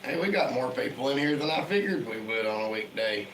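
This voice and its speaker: A man speaks in a relaxed, chatty way, his voice hoarse from a cold. He greets viewers by name as he talks. The recording has a roomy echo with a faint hiss underneath. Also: medium pitch, fairly quick. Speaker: livestream host. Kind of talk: casual livestream chat. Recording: echoey room with faint background noise.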